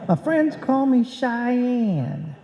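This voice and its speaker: A woman's voice: a little high, animated, extremely slow, mellow, voice pushed up. A woman playing a folksy, drawling male cowboy caller in a live old-time radio comedy. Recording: dull echoey hall recording.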